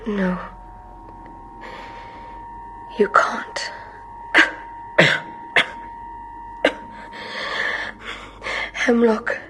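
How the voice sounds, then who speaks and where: A woman's voice: medium pitch, steady tone, pushed and bright, a little hiss or hum. A woman playing a defiant, dying prisoner in an audio drama, speaking cryptically and taunting her interrogator. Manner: slow, cryptic, breathy whispering.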